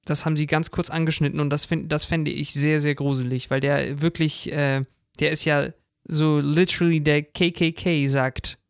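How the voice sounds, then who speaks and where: A man's voice: on the high side, little pitch movement, normal speed, vocal fry, few pauses, clean tone, dry recording, studio quality. A man co-hosting a podcast in casual chat. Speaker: podcast co-host.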